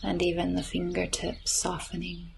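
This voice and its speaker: A woman guiding a relaxation meditation in a gentle, soothing, often breathy voice with long unhurried phrases. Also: on the low side, monotone, a little hiss or hum, low-quality recording. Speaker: yoga nidra meditation guide. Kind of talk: slow guided relaxation meditation. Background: chirping birds, nature ambience.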